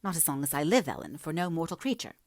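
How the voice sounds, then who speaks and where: A woman voicing a passionate, headstrong young gentlewoman in a dramatic, emphatic audiobook reading, breathy at times. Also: deep voice, expressive, moderate pace, no echo, clean studio sound. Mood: indignant, defiant.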